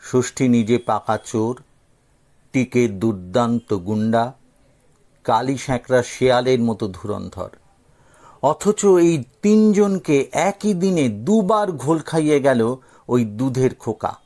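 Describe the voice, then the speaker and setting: Slow, creaky-voiced male storyteller. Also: medium pitch, expressive, mellow. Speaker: audio story narrator. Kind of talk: expressive scripted story narration.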